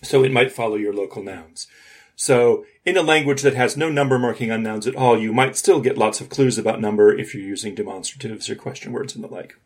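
A man's voice: medium pitch, brisk. A man co-hosting a podcast, explaining technical ideas step by step in an informal discussion. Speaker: podcast co-host.

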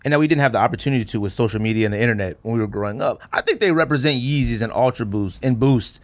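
A man's voice: medium pitch, very fast. Middle-aged American male podcast host, mid-range voice, loose conversational slang. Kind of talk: casual chat.

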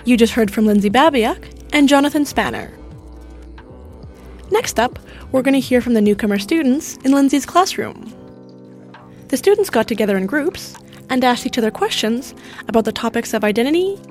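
A woman presents a scripted episode, her delivery clear and even. Her voice sits slightly high, and quiet music plays beneath her. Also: moderate pace. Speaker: podcast host. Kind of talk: scripted narration.

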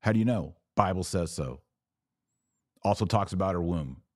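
A man's voice: sits low, expressive, moderate pace, no echo, clean studio sound. An American man hosting a religious podcast, talking informally to online viewers in an emphatic way with repeated phrases and rhetorical questions.

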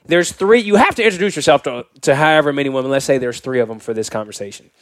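A young man chatting casually as a podcast co-host, giving dating advice and acting out sample dialogue in an animated way. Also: mid-range voice, fast talker, no room sound, clean studio sound.

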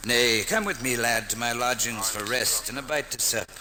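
A man's voice: medium pitch, normal speed, crowd noise, close to an everyday voice. An actor playing a gallant, kindly nobleman swordsman, speaking theatrical archaic English in an old radio drama. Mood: gentle, courteous. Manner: theatrical, archaic courtly speech. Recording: noisy vintage radio recording.

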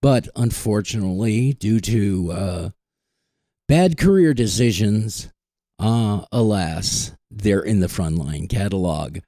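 A man hosting a music podcast in a casual, deadpan way, slipping in dry jokes and wry asides. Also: lowish voice, slow, dry recording. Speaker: podcast host.

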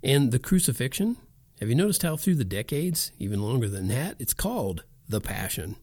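A man delivers a homespun sermon straight into a close microphone, with no room sound around him. His voice is mellow and a little dark. He swings his pitch widely as he fires rhetorical questions at the listener. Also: sing-song, normal speed, dry recording. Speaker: preacher and podcast host. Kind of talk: informal sermon monologue.

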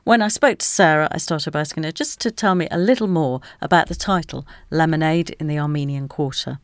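Brief: woman host, very low voice, dry close sound, measured introductions. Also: no room sound. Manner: measured, clear presenter delivery. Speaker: arts programme host. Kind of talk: radio interview and introductions.

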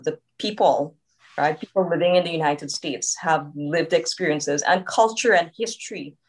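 A woman with a notably low voice and a subtle Trinidadian lilt, speaking as a coach on a podcast. She talks reflectively and keeps checking in with a quick "right?". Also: rough recording. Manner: reflective, frequent tag "right?". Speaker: coactive coach, podcast guest. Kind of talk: conversational interview.

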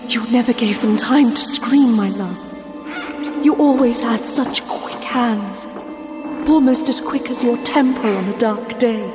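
An actress playing a murdered wife returned from the dead, reproachful and mournful, speaking slowly with tender menace in a horror audio drama. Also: female voice, on the high side. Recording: long hall reverb under music.

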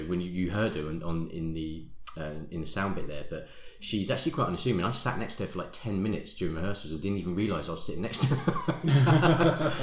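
Deep male co-host, eager and rambling, roomy recording. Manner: enthusiastic, restarts and hedges. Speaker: podcast co-host. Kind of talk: casual music review chat. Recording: roomy echo.

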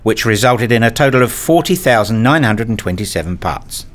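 Guy with a lowish voice narrating a radio play, first person, measured storytelling. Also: expressive.

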